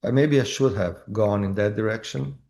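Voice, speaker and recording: A man with a Croatian accent, an actor telling his story in a casual interview, halting with many fillers and restarts. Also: on the low side, creaky, darkish tone. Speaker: actor guest.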